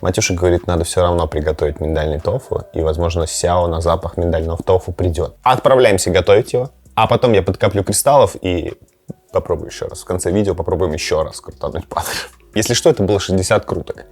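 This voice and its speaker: A man's voice: low-pitched, quick pace, a little mellow, slightly rough, soft background music. A man hosting a gaming and cooking vlog, chatting casually and playfully, sometimes to his cat. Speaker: host of a gaming and cooking channel. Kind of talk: casual chat to camera with gameplay reactions.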